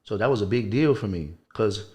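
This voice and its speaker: Low-voiced man in earnest casual talk. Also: animated, a little fast, a little mellow. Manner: informal, earnest, emphatic repetition. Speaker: coach and gym owner, podcast guest. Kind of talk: casual interview chat.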